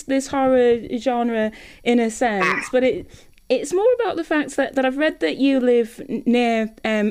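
Woman, fairly high pitch, casual interviewer, chatty, agreeable. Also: a little mellow, clean tone. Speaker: radio interviewer.

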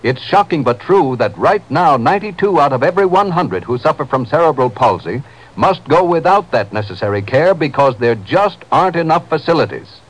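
Male vintage radio announcer for charity appeal: fairly high voice, very animated delivery, earnest and emphatic. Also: a little fast, poor audio. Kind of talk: scripted 1950s radio appeal.

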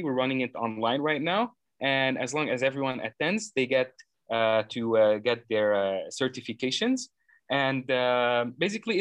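A man's voice: medium pitch, fairly bright tone, no echo. Earnest man in his thirties, from Lebanon. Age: 30s. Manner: earnest, explains at length with frequent uh fillers and self-corrections. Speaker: program founder interviewed as guest. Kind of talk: conversational interview answers.

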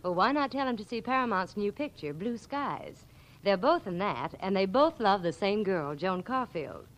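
Animated American radio ad woman. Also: medium pitch, normal speed, low-quality recording. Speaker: radio advertising spokeswoman. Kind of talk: 1940s scripted radio advertising dialogue.

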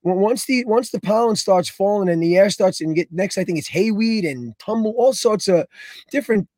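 Male co-host, raspy and nasal from allergies, upbeat and jokey, high-set voice, dead-dry booth sound. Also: normal speed, dry recording. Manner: lively, animated, joking. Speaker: fitness instructor and podcast co-host. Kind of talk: casual podcast chat.

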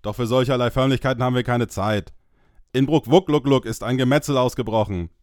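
A man's voice: mid-range voice, a little fast, darkish tone, dry recording, clean studio sound, natural voice. A man playing an earnest warrior with formal, archaic diction in an amateur fantasy audio drama. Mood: grave, urgent. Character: earnest, urgent male warrior bringing grim news.

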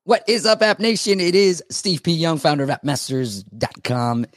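A man hosting a podcast with a raspy voice, talking in an upbeat, chatty way with quick asides. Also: on the high side, dry recording, clean studio sound. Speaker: podcast host. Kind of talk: casual interview.

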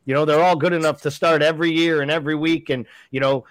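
A man arguing his case in a casual sports chat, pushing hard and asking rhetorical questions. His voice sits a little high for a man and sounds dry and close, with no room around it. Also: steady tone, fast talker, dry recording. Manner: emphatic, argumentative, rhetorical questions. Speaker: podcast co-host.

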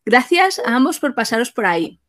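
A woman interviewer reads out questions sent in by the audience. Her voice is fairly high, and her delivery is casual. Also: very animated, a little fast.

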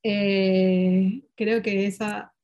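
A woman's voice: medium pitch, very slow. Chatty woman telling stories. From Peru. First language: Portuguese. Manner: lively, animated, many fillers and restarts. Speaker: interview guest. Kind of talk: casual conversational storytelling.

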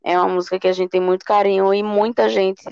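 A singer from Recife, chatting easily as a podcast guest. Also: female voice, mid-range voice, quick pace, vocal fry, smooth, dry recording, muffled recording. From Recife, Brazil. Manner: relaxed, says "menino" and "sabe?". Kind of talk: casual interview chat.

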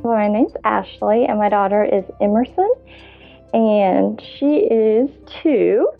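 A woman's voice: medium pitch, slow pace, creaky, faint music behind. A woman in her thirties, a podcast guest, chatting casually and laughing often as she tells stories. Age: 30s. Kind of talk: casual interview chat. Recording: phone-line sound.

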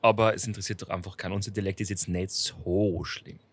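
A man's voice: deep voice, little pitch movement, quick pace, husky, no echo. A man co-hosting a casual podcast, rambling loosely, swearing casually and laughing often. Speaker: podcast co-host. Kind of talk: casual chat.

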